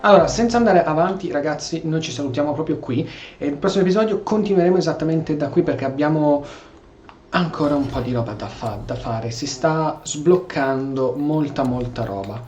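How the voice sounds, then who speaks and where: A man's voice: on the high side, quick pace, a little mellow, faint music behind. An Italian man giving casual gameplay commentary as a streamer, often stumbling over and repeating his words. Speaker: gaming streamer. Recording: dull, echoey room recording.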